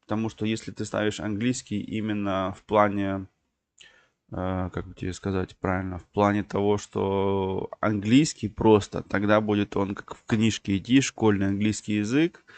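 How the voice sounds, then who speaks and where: Male stream host, lowish voice, dry close sound, rambling explainer with lots of fillers. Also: level tone, moderate pace, a little mellow, no room sound. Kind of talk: livestream chat.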